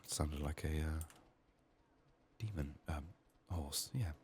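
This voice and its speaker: A man doing soft-spoken, often whispered ASMR game commentary, muttering to himself with a voice strained by illness. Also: a bass voice, takes it slowly, slight background noise. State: sick, resting a strained voice. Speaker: video game streamer.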